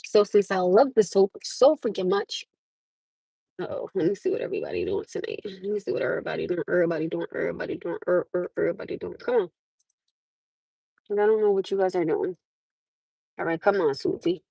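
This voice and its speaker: A woman's voice: fairly low pitch, expressive, fast talker, gravelly, warm tone, breathy edge, dry recording, poor audio. A woman from the United States chatting live with her viewers from her parked car on a phone camera, laughing often and calling them "my love.". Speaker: livestream host. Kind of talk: casual live chat with viewers.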